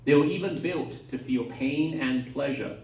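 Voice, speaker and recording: A man's voice: mid-range voice, a little slow, a little mellow, recorded from a distance, dull audio, a little hiss or hum. A man in his forties from Taiwan, a pastor giving a talk to students, hesitant with many fillers, chatty like a storyteller. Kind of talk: lecture to students. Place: university lecture hall. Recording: roomy hall, voice from off mic.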